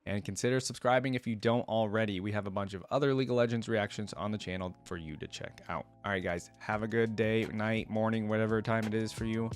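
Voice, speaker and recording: A man's voice: on the low side, fairly even intonation, fast, hardly any pauses, soft background music. A man hosting a reaction channel, giving casual, rambling commentary as he thinks aloud. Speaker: host of a game reaction channel.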